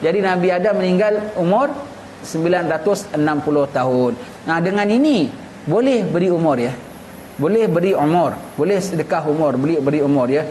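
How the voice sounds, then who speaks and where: A man's voice: a little high, expressive, brisk, darkish tone. A man from Singapore with a Singaporean Malay accent gives a religious lecture as an Islamic teacher, explaining conversationally with repeated phrases and rhetorical questions. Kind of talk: religious lecture, reading a text and explaining it colloquially. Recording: echoey room with clear background noise.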